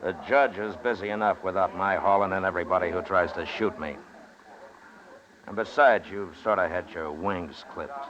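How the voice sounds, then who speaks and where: An actor playing a stern, terse Old West marshal in a 1950s radio western, with a dry, unruffled manner. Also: male voice, deep voice, normal speed, close to an everyday voice. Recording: noisy, muffled old radio recording.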